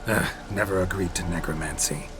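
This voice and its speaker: An actor playing a gruff, weary mercenary monster hunter in a video game, laconic and deadpan, with a gravelly voice. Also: male voice, sits low, brisk, close to an everyday voice. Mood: sardonic. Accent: North American. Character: gruff, weary male monster-hunting mercenary, dry and sardonic. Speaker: player character.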